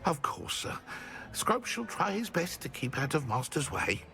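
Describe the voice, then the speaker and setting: A high, creaky creature voice, a nervous house-elf servant from a fantasy game. He speaks slowly and haltingly, bowing and scraping to his master with every phrase. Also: sing-song, sharp tone, exaggerated voice. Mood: cautious, deferential. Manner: halting, deferential. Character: servile, anxious old house-elf servant, speaks of himself in third person. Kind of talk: video game character acting.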